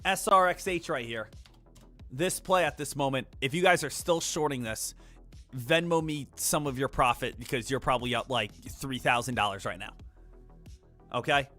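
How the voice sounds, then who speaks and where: A man's voice: medium pitch, moderate pace, sharp tone, faint music behind. A man hosting a live day trading stream, chatting casually with viewers in a brash, joking, crude way. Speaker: day trading livestream host.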